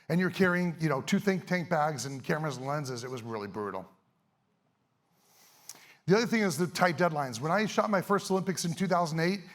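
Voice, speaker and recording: A middle-aged man, a little hoarse, giving a fast, energetic, casual talk to a live audience on an event stage. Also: sits high. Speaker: event speaker, sports photographer.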